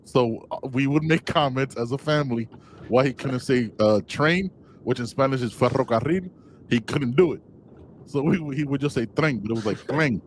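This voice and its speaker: A male co-host spinning a teasing family anecdote with playful, animated delivery. His voice sits at a middling pitch. Faint background noise runs under the recording. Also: moderate pace, rough recording. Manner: joking, storytelling, animated. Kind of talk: casual sports podcast chat.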